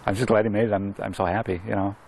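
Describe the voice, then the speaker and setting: A man, a firefighter, speaks in halting, sincere, informal interview talk. His voice is fairly low and gravelly, recorded on location with faint vehicle noise. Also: flat delivery.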